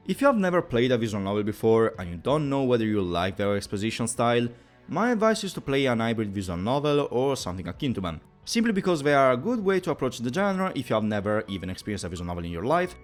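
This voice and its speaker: Very lively man narrating over music. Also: on the high side. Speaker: YouTube video essayist and presenter. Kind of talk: scripted explainer narration.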